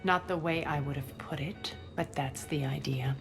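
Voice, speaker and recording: Low, gravelly imperious crime queen. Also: fairly even intonation. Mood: sardonic. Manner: haughty, sardonic, deliberate. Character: imperious, menacing alien crime queen, sardonic and proud. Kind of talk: video game voice acting. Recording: music under the voice.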